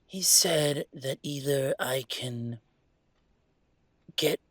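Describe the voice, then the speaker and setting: A man playing an upset young werewolf venting about his father in an intimate audio roleplay, speaking in a halting, emotional, rambling way. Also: mid-range voice, a bit bright, no room sound. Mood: agitated, distressed.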